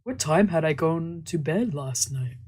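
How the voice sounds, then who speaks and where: A man's voice: fairly high pitch, animated, a little slow. Laid-back man reading a game aloud. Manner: laid-back, quiet, drifting into murmured asides. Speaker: streamer reading a visual novel aloud. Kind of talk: reading narration and translating dialogue.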